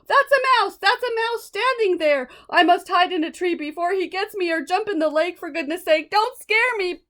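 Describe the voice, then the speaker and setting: A woman's voice: extremely high pitch, lively intonation, moderate pace, fairly bright tone, pitched above the performer's own voice. A woman voicing a big male elephant in a children's storybook, apologetic and easily frightened, pleading dramatically. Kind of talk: children's storybook read-aloud.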